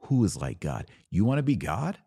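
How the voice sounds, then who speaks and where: A man hosting a podcast, giving a religious lecture in an emphatic, didactic manner with dramatic pauses. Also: on the low side, big pitch swings, mellow, husky, no room sound, studio quality. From Texas. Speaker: podcast host.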